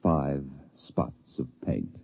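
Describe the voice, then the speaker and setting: A man narrating a 1950s radio drama in a grave, theatrical way with deliberate phrasing. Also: extremely low pitch, gravelly, slight background noise. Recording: muffled vintage radio, music behind.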